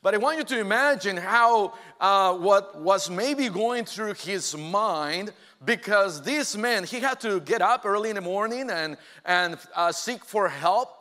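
A man in his forties delivers a sermon in a high, bright voice. He speaks slowly and earnestly, with many "uh" fillers. His voice rings with the long reverb of a big church hall. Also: animated. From Mexico. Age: 40s. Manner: earnest, hesitant with frequent "uh" fillers. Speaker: pastor. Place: church sanctuary.

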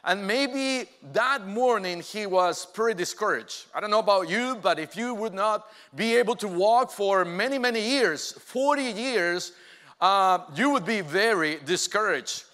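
A man in his forties delivers a sermon in a high, bright voice. He speaks slowly and earnestly, with many "uh" fillers. His voice rings with the long reverb of a big church hall. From Mexico. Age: 40s. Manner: earnest, hesitant with frequent "uh" fillers. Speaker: pastor. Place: church sanctuary.

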